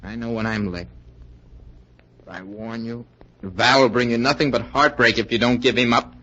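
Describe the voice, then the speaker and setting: An actor playing an earnest, lovesick young fiance in an intense, pleading 1940s radio style. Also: male voice, mid-range voice, fairly even intonation, normal speed, pushed and bright. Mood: bitter warning. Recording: dull vintage 1940s radio recording.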